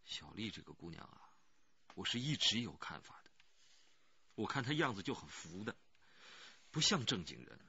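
A man's voice: lowish voice, big pitch swings, moderate pace, long pauses, bright, forceful tone, slightly rough, dry recording, muffled recording, heavily acted. An actor playing an anxious rural working man who pleads earnestly and hesitantly in a radio crime drama. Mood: defensive, earnest.

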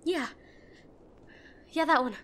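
A woman playing a brusque, protective and frightened tsundere girlfriend in a roleplay audio drama, speaking urgently and haltingly straight to the listener. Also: high-pitched, pushed and bright, heavily acted. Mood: urgent, pleading. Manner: bossy, urgent, emotional, halting.

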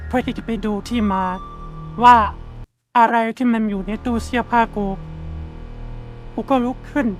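A woman voicing a boastful schoolkid in a cartoon, telling a scary story slowly and suspensefully, in halting, drawn-out phrases. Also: on the high side, steady tone, a little mellow, lightly acted. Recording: music behind the voice. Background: clear background music.

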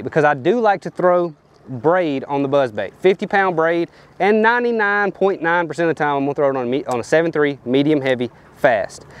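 A man, casually explaining fishing gear outdoors on a lapel mic, loose and rambling with lots of fillers. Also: on the high side. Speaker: professional bass angler. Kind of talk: casual fishing tips to camera. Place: outdoors on a riverbank and boat deck.

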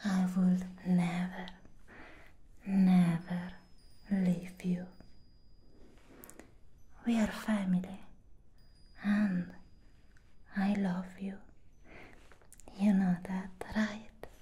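A young woman playing a devoted, suffering vampire sister in a breathy, tender, pleading whisper for an ASMR roleplay. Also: mid-range voice, level tone, extremely slow, long pauses, warm tone. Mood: tender devotion. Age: young adult. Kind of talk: soft-spoken ASMR roleplay.

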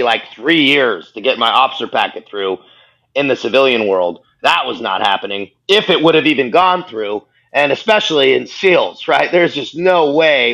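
A man's voice: mid-range voice, vocal fry, pushed and bright. A man in his thirties, a guest on a video call, telling his story bluntly and laughing at himself. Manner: blunt, self-mocking, laughs often. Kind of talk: candid storytelling interview. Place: living room at home. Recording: dull lo-fi video call audio.

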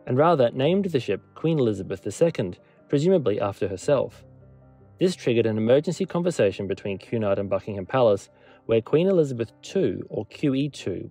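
A man's voice: medium pitch, warm tone, breathy edge. A man presenting a scripted history documentary as a narrator and lecturer. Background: background music.

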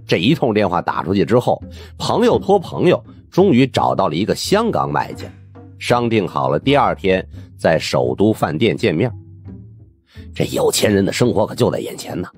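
A man narrating an audiobook in a colloquial, wry and expressive first-person storytelling style. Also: on the low side.

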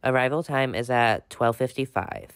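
A woman's voice: rumbling low, moderate pace, smooth, dry recording, studio quality. A woman playing a weary, practical mother in a pretend-play story, firm and matter-of-fact. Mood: firm, patient. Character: weary, practical mom of a young girl.

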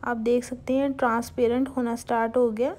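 A young woman hosting a home cooking channel, calmly talking viewers through a recipe step by step and mixing English cooking terms into her speech. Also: a little high, a little fast. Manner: calm, instructive, mixes English cooking terms into Hindustani speech.

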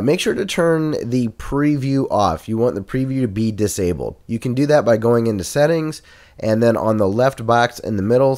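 A man giving a casual Let's Play tutorial into a USB condenser mic at his home gaming setup, talking relaxed with many uhs and ums. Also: on the low side. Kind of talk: casual software tutorial and game commentary. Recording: voice over loud game music. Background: game music.

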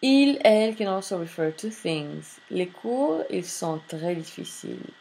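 A woman's voice: lowish voice, lively intonation, gravelly, a little mellow, low-quality recording. A woman French teacher, reading textbook lines aloud and explaining them in English during a screen-recorded lesson. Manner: reads French lines then translates, slow and deliberate.

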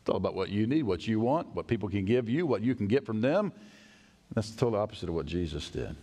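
A middle-aged man preaching a sermon as pastor in a large church sanctuary, animated, acting out hurried voices and dropping to a hush. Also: medium pitch. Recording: long church reverb.

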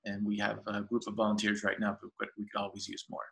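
Upbeat low-voiced man on a video call. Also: completely flat delivery, fast talker, creaky, fairly bright tone. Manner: eager, rambling with many fillers. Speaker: farmers market general manager. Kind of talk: casual video-call interview. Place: home room with shelves, indoors. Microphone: laptop webcam on video call.

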